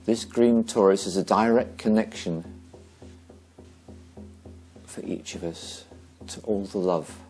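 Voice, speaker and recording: A man leading a guided meditation slowly and gently, pausing between short phrases. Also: lowish voice, soft background music.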